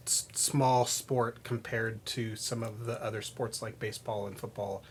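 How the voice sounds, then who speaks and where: A man co-hosting a podcast in relaxed, conversational banter and discussion, recorded with some noise behind him. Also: fairly low pitch. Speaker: podcast co-host. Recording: noticeable background noise.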